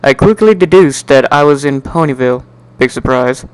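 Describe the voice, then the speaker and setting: A man giving an amateur dramatic reading of a first-person story in an animated, wry, sardonic way. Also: medium pitch, moderate pace, clear, resonant tone, poor audio. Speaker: amateur narrator.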